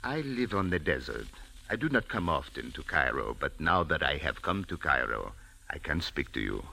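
A deep, gravelly desert sheikh speaks in slow, formal, courtly phrases, his pitch rising and falling widely. It is an old radio drama recording with music playing underneath. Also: big pitch swings, bright, forceful tone, full character voice. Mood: courteous. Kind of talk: 1940s radio acting.

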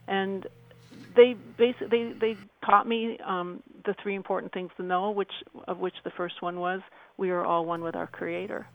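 Gravelly-voiced woman giving an earnest personal account. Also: on the low side, rough recording. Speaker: radio show guest.

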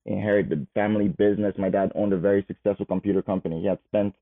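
A man in his thirties, a guest telling his life story in a casual, animated way. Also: fairly low pitch, rapid-fire. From Nigeria. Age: 30s. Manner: animated, repeats words as he goes. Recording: phone-like narrow sound.

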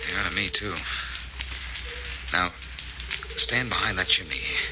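Shrewd, wry detective: low, hard-edged voice, assured 1940s radio delivery, dull old recording. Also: male voice, expressive, unhurried, noisy background. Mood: hushed, wry. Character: shrewd, confident male amateur sleuth, wry and brisk.

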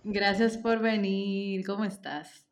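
A woman's voice: mid-range voice, fairly even intonation, slow pace. A woman, hosting a podcast in a friendly, chatty way and laughing often. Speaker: podcast host.

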